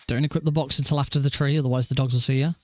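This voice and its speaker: A man with a naturally high voice, rambling casually and self-deprecatingly as he hosts a gaming livestream. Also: fairly even intonation, racing, no echo. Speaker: livestreaming speedrunner and host. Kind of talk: casual livestream chat over gameplay.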